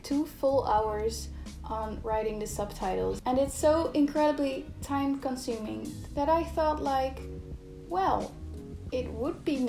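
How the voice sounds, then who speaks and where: A young woman with a Dutch accent chats openly in her home art studio, sometimes searching for her English words. Her voice is fairly high and mellow. The room sounds roomy, with faint music playing underneath. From Netherlands. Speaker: YouTuber and illustrator. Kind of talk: casual chat while drawing. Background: faint background music.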